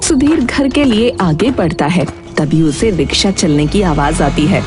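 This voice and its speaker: A woman narrates a children's tale from a script. Her voice sits a little low yet moves expressively, with clearly audible music underneath. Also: lively intonation, a little slow. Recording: prominent music behind the voice.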